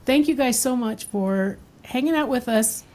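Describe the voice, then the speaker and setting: A woman's voice: on the high side, moderate pace, a little mellow. A woman co-hosting a podcast, welcoming guests in a bubbly, enthusiastic way. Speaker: podcast co-host. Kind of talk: casual podcast interview.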